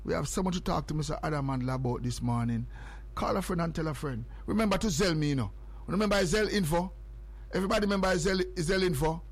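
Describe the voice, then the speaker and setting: A man's voice: fairly high pitch, fast talker, breathy edge. A man with a Jamaican accent hosting a morning radio show, repeating phrases and numbers over and over to hype his listeners. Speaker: radio host.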